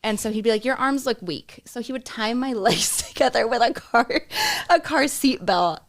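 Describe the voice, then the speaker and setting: A woman's voice: a little high, normal speed, no room sound, clean studio sound. A young adult runner from Mexico, raised abroad, chatting in an interview, laughing often and quoting her own thoughts. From Mexico, raised in Argentina and France. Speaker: marathon runner guest. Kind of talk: casual interview conversation. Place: podcast studio.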